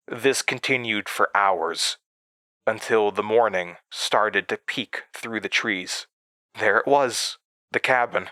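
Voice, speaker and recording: An actor playing a haunted man recounting a terrifying memory in a horror audio drama, halting and hesitant. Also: lowish voice, bright, forceful tone, dry recording. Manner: halting, hesitant, with stammered restarts.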